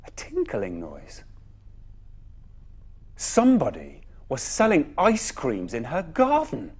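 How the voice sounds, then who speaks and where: A man reading a children's bedtime story expressively, with dramatic pauses and emphatic phrasing. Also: mid-range voice, wildly swooping pitch, husky.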